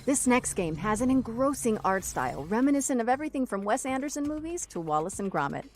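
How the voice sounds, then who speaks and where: A woman's voice: a little high, very animated, fast, a little mellow, a little hiss or hum. A woman presenting a showcase from a script.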